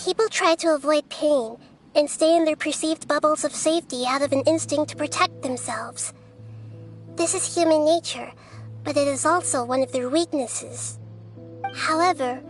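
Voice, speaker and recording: A woman voicing a small childlike goddess in a video game, speaking in a measured, earnest and philosophical way, gentle but resolute. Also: sits high, close to an everyday voice.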